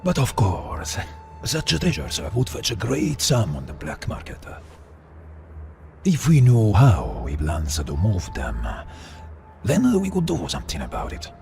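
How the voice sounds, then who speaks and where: An actor playing a suave, menacing mafia kingpin with an Italian accent and theatrical courtesy in a video game cutscene. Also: male voice, on the low side, huge pitch swings, measured pace, creaky, a little mellow, faint music behind, full character voice. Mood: scheming. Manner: theatrical, silky, courteous with veiled threat.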